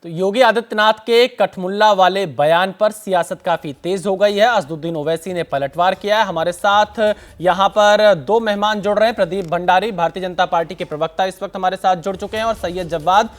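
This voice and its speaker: A man anchoring a TV news debate, briskly introducing guests and putting questions to them. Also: sits high.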